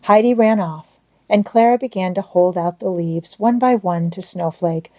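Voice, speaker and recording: Low-pitched woman narrating a storybook. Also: moderate pace, dark tone. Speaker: audiobook narrator.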